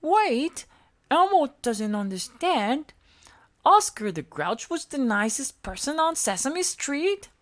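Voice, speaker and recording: A tiny, polite storybook monster child, sweet and full of curiosity. The voice is pitched far up and swings about, and he refers to himself by name. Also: very animated, takes it slowly, a bit bright, exaggerated voice. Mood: puzzled. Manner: speaks of self in third person.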